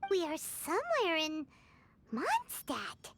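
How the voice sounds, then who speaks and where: A woman voicing a tiny, childlike floating fairy companion in a video game, squeaky, excitable and cheeky. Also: slow, noisy background, heavily acted. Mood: curious. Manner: speaks of herself in third person.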